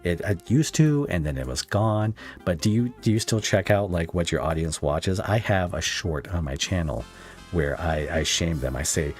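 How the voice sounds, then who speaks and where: Deep-voiced male podcast host over music. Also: animated, moderate pace. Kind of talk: casual interview chat. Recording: music playing behind the talk. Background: background music.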